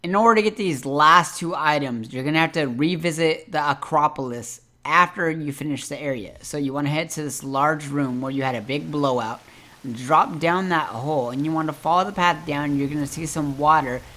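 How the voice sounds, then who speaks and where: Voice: mid-range voice, normal speed, sharp tone. A host narrating a casual step-by-step video game guide.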